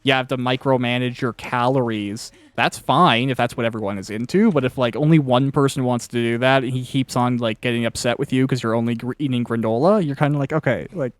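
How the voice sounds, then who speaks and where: A man interviews in a loose, chatty style, wandering through anecdotes with the odd casual swear. His voice sits at an ordinary male pitch, recorded dry with hardly any room sound. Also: fairly even intonation, fairly quick, hardly any pauses, no room sound. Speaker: podcast interviewer and author.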